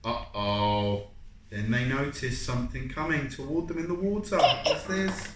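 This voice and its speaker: A parent reading a picture book aloud to a young child in an expressive, dramatic, coaxing way, often pausing to ask questions. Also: mid-range voice. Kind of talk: animated read-aloud storytime with questions to a child. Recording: echoey room with background noise.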